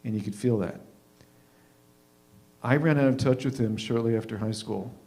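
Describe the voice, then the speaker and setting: A man in his fifties from Canada hosting a live show, telling a personal story informally in a wry, reflective way with deliberate emphasis. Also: mid-range voice, a little mellow, low-quality recording. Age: 50s. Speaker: host of a live readers' theatre show.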